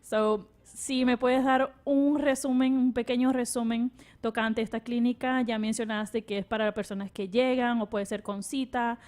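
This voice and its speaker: A woman hosting a radio interview, halting with fillers and switching between languages. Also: a little high, fairly even intonation, darkish tone. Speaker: radio show host.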